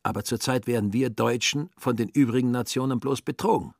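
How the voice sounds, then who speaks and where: A man's voice: mid-range voice, fairly quick, creaky, a little mellow, dry recording, studio quality, voice pushed up. A man voicing a self-serving young German swindler in a radio play, musing in a cynical, self-justifying way. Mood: indignant musing.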